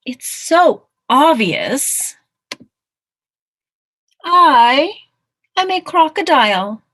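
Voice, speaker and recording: A woman voicing a tiny, cocky storybook mouse who boasts and declares with drawn-out emphatic words. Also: sits high, lively intonation, fairly bright tone, pitched above the performer's own voice, heavily acted. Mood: amazed, dramatic.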